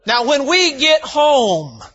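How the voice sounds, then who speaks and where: A man, a visiting preacher, slightly hoarse, telling folksy stories and building to shouted emphasis in a sermon. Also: very high voice, big pitch swings, slow. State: slightly hoarse from lack of sleep. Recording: dull lo-fi church recording.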